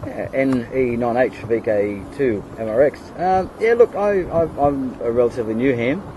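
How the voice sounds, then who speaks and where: An Australian man chatting casually as an amateur radio operator, pausing often, recorded outdoors. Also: medium pitch. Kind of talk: casual radio chat with spelled callsigns. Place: outdoors in a kayak on a creek. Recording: outdoor recording with steady background noise.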